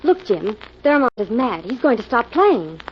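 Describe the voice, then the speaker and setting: An actress playing an eager, plucky young detective's assistant, earnest and inquisitive, in 1940s radio drama style. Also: female voice, on the high side, very animated, normal speed, dull audio. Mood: watchful. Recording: noisy old radio recording.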